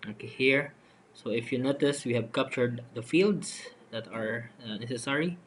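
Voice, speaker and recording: Male software demonstrator, unscripted and halting, walking through screen steps, some noise behind the voice. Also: medium pitch. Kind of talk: step-by-step screen tutorial narration. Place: voice-over for a screen recording. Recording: noticeable steady background noise.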